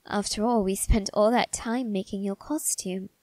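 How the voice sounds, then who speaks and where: A woman voicing a doting, secretive young male vampire aristocrat in a soft, intimate ASMR roleplay, with refined, coaxing phrasing. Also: mid-range voice, steady tone, no room sound, natural voice. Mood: tender, reassuring. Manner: coaxing, gentle, unhurried, refined phrasing with tag questions. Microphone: close, dry microphone.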